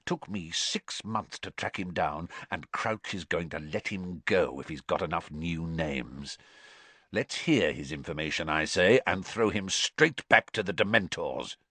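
A man voicing a gruff, scornful older male veteran who growls and mutters quietly. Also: low voice, animated, moderate pace, few pauses, no room sound, exaggerated voice. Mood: scornful muttering.

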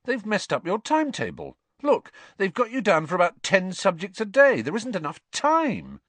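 A cheeky teenage boy gasps in disbelief and teases, as a man puts him on. The voice jumps high and swoops around with lively energy, close and dry. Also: moderate pace, no echo, heavily acted. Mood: incredulous. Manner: incredulous, jokey, animated. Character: lanky teenage schoolboy, incredulous and cheeky.

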